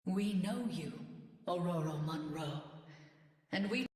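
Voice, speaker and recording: An actress voicing a solemn, commanding cosmic fire entity in a video game. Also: female voice, lowish voice, lively intonation, takes it slowly, darkish tone. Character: cosmic Phoenix Force speaking through a woman. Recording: roomy reverb.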